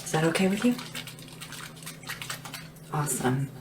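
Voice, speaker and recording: Woman acting as gentle fantasy sleep assistant, low-pitched, hushed ASMR delivery, devoted, attentive, sometimes a little distant from the mic. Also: lively intonation, background noise. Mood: soothing, attentive. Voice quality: breathy, hushed. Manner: soothing, attentive, earnest, unhurried. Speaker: ASMR roleplay performer. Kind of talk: soft-spoken and whispered ASMR roleplay.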